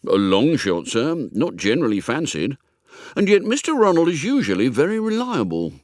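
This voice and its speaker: A man's voice: mid-range voice, wildly swooping pitch, normal speed, a little mellow, dry recording, clean studio sound, voice pushed up. A man voicing a dignified, austere English butler with a formal, deferential manner in a comic audiobook. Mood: measured, confiding. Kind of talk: comic audiobook character acting.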